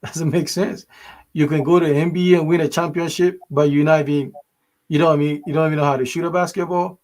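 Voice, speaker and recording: A man's voice: on the high side, fairly even intonation, quick pace, a little mellow. A man from Ghana co-hosting a podcast, talking in an emphatic, motivational way with many restarts. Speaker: podcast co-host. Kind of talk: casual discussion.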